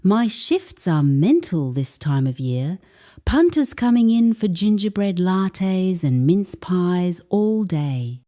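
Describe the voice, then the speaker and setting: A woman, an English teacher, calmly reading a first-person story aloud as scripted narration. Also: on the low side, takes it slowly.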